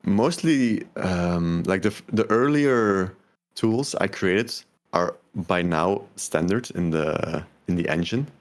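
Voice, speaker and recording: A man speaks as a guest on a laid-back podcast, his voice on the low side. He talks in an easygoing way, laughing readily and sprinkling in plenty of fillers. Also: slow pace. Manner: relaxed, laughs easily, many fillers like "like". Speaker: podcast guest, game developer. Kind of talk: casual interview chat.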